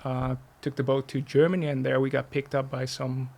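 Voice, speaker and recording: A man with a Dutch accent and a gravelly, frying edge to his mid-pitched voice. He talks as a podcast guest, explaining things casually and pausing as he goes. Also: little pitch movement, a little mellow. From Netherlands, born in Russia. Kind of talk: casual interview storytelling.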